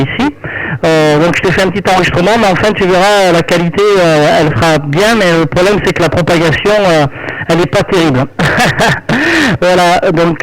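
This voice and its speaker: Man, slightly high voice; relaxed ham radio chat, friendly and rambling. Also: rough recording. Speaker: amateur radio operator. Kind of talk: casual ham radio conversation. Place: at home at night.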